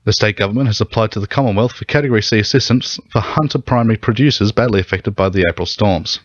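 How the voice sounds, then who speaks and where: A man's voice: lowish voice, quick pace, dry recording, dull audio. A man with an Australian accent reading scripted radio news.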